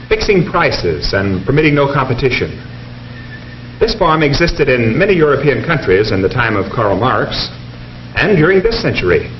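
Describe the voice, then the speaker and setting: An actor playing an earnest American professor in a 1950s educational film, speaking in a formal, deliberate, didactic way. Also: male voice, mid-range voice, lightly acted. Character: earnest male economics professor lecturing his class. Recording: dull, noisy 1950s film soundtrack.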